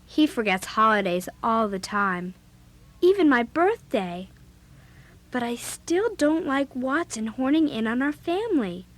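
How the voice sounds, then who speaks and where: A woman's voice: fairly high pitch, sing-song, a little slow. A young female actor playing a spirited, outspoken girl babysitter in an audio drama, narrating and speaking with youthful emphasis. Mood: earnest. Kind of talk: first-person audio drama narration and dialogue.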